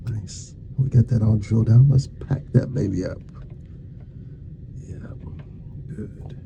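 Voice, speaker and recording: A soft-spoken man playing a dentist in an ASMR roleplay, murmuring casual, reassuring and unhurried patter to a patient. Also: mid-range voice. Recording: dull muffled lo-fi sound with faint noise.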